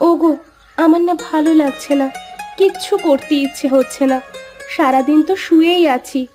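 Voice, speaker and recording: A woman's voice: high-pitched, moderate pace, warm tone, soft background music, close to an everyday voice. A woman voicing a pampered, touchy, complaining city wife in a dramatised moral story.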